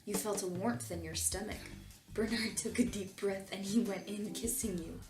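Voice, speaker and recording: A woman's voice: medium pitch, fairly even intonation, moderate pace. A woman reading fan fiction aloud and commenting on it casually, with a tongue-in-cheek, mock-earnest manner. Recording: roomy echo, music behind.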